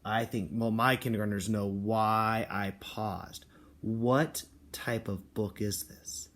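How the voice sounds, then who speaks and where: A man's voice: lowish voice, fairly even intonation, slow, fairly bright tone. A man speaking as a kindergarten teacher, explaining and reading aloud to young children and parents.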